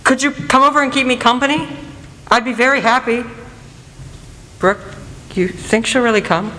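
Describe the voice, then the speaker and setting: A woman's voice: on the high side, steady tone, normal speed, sharp tone. A young woman playing a shy, polite, lonely teenage boy in a radio play, hesitant and courteous. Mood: hopeful. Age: teenager or young adult. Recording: echoey hall, faint noise.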